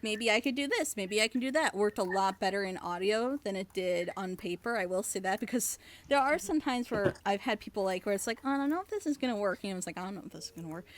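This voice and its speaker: A woman chatting casually on a reaction panel, giving feedback in an animated, rambling, gushing way. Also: fairly high pitch. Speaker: reviewer and script editor on a reaction panel.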